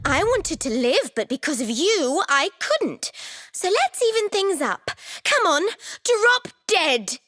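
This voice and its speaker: A woman's voice: extremely high pitch, sing-song, slow pace, a bit bright, exaggerated voice. A woman voicing the false phantom of a dead young girl in a game, sugary, taunting and cruel. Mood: bitter, accusing. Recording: background music under the voice.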